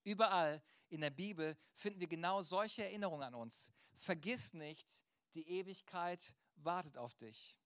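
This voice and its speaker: Male pastor, high-pitched voice, dry close sound, conversational sermon delivery with rhetorical direct address. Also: moderate pace, a bit bright, clean tone, no room sound.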